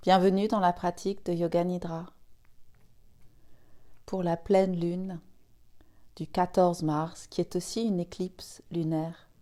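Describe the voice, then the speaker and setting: A woman leading a guided yoga nidra relaxation, speaking gently and soothingly in short, spaced phrases. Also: lowish voice. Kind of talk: slow guided relaxation meditation.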